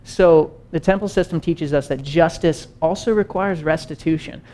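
A man's voice: a little high, moderate pace. A young man teaching a sermon on a stage, talking casually and pacing as he gestures. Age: young adult. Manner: animated, conversational, gestures widely while pacing. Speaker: preacher. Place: stage with wood-panel backdrop and screen.

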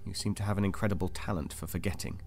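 An actor playing a cold, detached interrogator in an audio drama, formal, controlled and insistent. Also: male voice, sits low, fairly even intonation, racing, darkish tone. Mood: clinical, explaining. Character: cold, clinical male interrogator of a captive. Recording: music under the voice.

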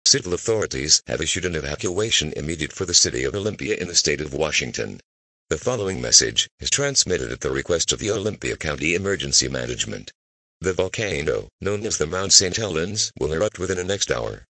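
A man's voice: very deep voice, big pitch swings, fairly quick, pushed and bright, slightly rough, no echo. A man's voice, synthetic-sounding and stiff, reading an emergency evacuation bulletin. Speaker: emergency alert announcer.

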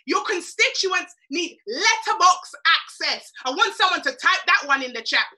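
A woman's voice: extremely high pitch, big pitch swings, moderate pace, sharp tone. A middle-aged Jamaican woman from Jamaica giving an emphatic, preachy motivational talk on a webcam video call, repeating lines for effect. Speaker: mentor.

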